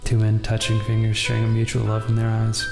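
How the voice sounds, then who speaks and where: Guy w low creaky voice narrating a noir story game, measured, music behind him. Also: completely flat delivery, reverberant, low-quality recording. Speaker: video game player reading text aloud. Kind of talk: read-aloud narration of a noir visual novel.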